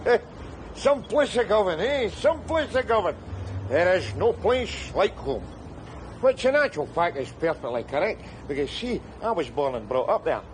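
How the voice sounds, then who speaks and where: An actor playing a sardonic, rambling middle-aged down-and-out man, who breaks into shouts. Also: high-pitched, sing-song, moderate pace, a little hiss or hum, poor audio. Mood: wry rambling. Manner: wry, rambling, bursts into shouts. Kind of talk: screen drama acting.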